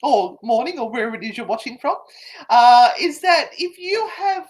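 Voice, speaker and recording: A woman's voice: fairly high pitch, expressive, moderate pace, darkish tone. A woman co-hosting a live stream, cheeky and profane, greeting viewers by name. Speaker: co-host. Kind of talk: casual live-stream banter.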